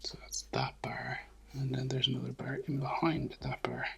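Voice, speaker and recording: A man's voice: mid-range voice, very animated, moderate pace, creaky, soft background music. A man with a head cold, casually talking through a drawing step by step, thinking aloud with many fillers and dropping to near whispers. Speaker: drawing tutor.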